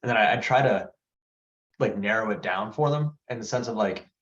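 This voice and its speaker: Low-voiced man explaining casually, lo-fi audio. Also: moderate pace, a bit bright. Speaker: interviewer in training meeting. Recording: dull lo-fi meeting audio.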